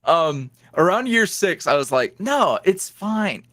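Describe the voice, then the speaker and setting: A man guest on a podcast, an ASMR roleplay creator, talking candidly in a rambling, filler-heavy way that swings from lively bursts to low mutters. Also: slow, no room sound. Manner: swings between animated highs and low mutters. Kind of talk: casual interview chat.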